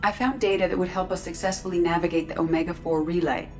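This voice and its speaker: A woman acting as a female ship AI from a sci-fi game, low in pitch and slightly echoey. The delivery is formal, precise and coolly analytical, with music playing behind it. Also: steady tone, quick pace, lightly acted. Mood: calm, assured.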